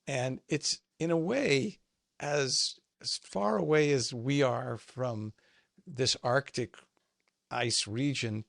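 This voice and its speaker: A man's voice: mid-range voice, slow, gravelly, fairly bright tone, no echo. A man interviewing a filmmaker and asking long, rambling questions.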